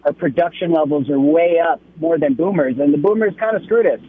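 A man in his thirties calling into a radio show, earnest and blunt, getting animated as he makes his point. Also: a little high, brisk, a little hiss or hum. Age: 30s. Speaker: caller. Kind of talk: call-in opinion. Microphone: telephone. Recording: tinny phone line.

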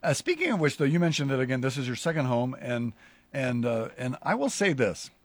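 A pastor hosting a casual conversation, a man who talks in halting, rambling sentences with many restarts. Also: mid-range voice, very animated, dry recording. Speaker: pastor and show host.

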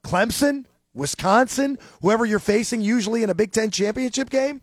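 A man co-hosting a sports talk radio show, sounding nasal and stuffed up, talking sports calmly. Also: sits high, normal speed, no room sound, studio quality.

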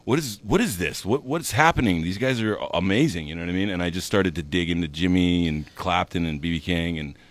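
A man's voice: low-pitched, brisk, few pauses. Froggy-voiced man from Hawaii. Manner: relaxed storytelling, many fillers. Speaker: guest musician. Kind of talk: casual chat.

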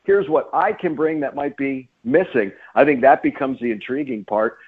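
A man's voice: mid-range voice, expressive, moderate pace, slightly rough. Emphatic sportscaster man on a phone-like line. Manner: emphatic, repeats phrases for stress. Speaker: sports play-by-play announcer, radio guest. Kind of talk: radio sports interview commentary. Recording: phone-like narrow-band radio line.

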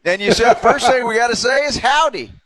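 A man's voice: very high-pitched, a little fast, bright, forceful tone. An American man co-hosting sports radio, chatting in casual, teasing banter. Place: radio studio.

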